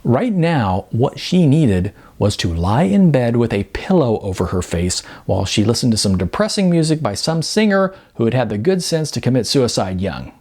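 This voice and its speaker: A man's voice: medium pitch, very animated, normal speed, few pauses, a little mellow. A man narrating comic fiction expressively, with a wry, emphatic, sardonic delivery. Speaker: audiobook narrator.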